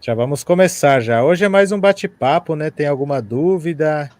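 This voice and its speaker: A man's voice: on the high side, normal speed, darkish tone, no echo. A man from Paraguay hosting a live language class over a video call, chatting in a friendly, rambling way with many fillers. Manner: switches between Spanish and Portuguese, friendly, many fillers.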